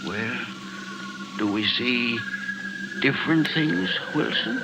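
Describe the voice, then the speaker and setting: A man's voice: mid-range voice, expressive, takes it slowly, creaky, lo-fi sound, over loud music. An actor playing a frantic, doubting old man haunted by visions, stammering and repeating himself in an old-time radio mystery style. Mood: troubled, grave. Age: older. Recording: noisy old radio drama recording.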